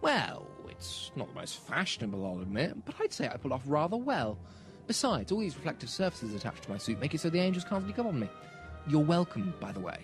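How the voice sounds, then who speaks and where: Man playing animated time-traveller stallion, high-voiced. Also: fairly quick, soft background music. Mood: breezy. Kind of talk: fan audio drama acting.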